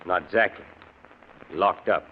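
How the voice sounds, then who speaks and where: A man's voice: low-pitched, measured pace. An actor playing a dutiful, gloomy mountain man with a folksy rural American accent in a radio drama. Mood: glum, confiding. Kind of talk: old-time radio drama acting. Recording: muffled old radio recording with hiss.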